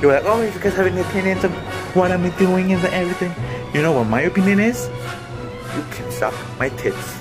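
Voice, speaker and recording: A man's voice: high voice, fairly quick, music in the background, pitched above the performer's own voice, natural voice. A man in a small bedroom, filmed on a phone at arm's length, playing a bossy, cursing older grandmother who trash-talks her rival. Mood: furious. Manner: brash, insulting, trash-talking. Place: small cluttered bedroom, indoors. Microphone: phone camera at arm's length.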